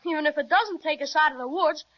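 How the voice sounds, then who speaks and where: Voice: high voice, fast talker, natural voice. An actor playing a plucky, eager little boy with gee-whiz earnestness in a children's radio serial. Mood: upbeat. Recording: dull vintage radio recording.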